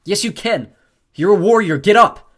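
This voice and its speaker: A man's voice: on the high side, sing-song, quick pace, breathy edge, pitched above the performer's own voice. A man voicing a brave, loyal teenage demigod boy in an audiobook adventure story. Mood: urgent shouting.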